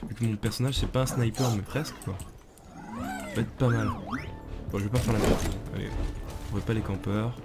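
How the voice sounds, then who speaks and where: A man with a cold, chatting in slang and getting excited while commenting on a game to his viewers. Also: lowish voice, brisk, creaky, long pauses. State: has a cold. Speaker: gamer. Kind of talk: casual gameplay commentary. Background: game music and sounds.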